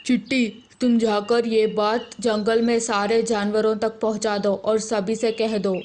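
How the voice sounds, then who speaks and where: A concerned forest lion king voiced by a woman speaks slowly with measured authority. The voice is on the high side, in a children's tale with noisy birdsong. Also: level tone, a little mellow, natural voice. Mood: decisive. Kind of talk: animated children's story character.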